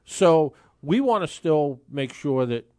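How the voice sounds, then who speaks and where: A man in his sixties hosts a car show in a casual, rambling way, often restarting his sentences. His voice sits a little high for a man. The sound is dry and close, with no room around it. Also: sing-song, takes it slowly, darkish tone, no room sound. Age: 60s. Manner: chatty, rambling with frequent restarts. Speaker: radio car show host. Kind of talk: casual radio talk.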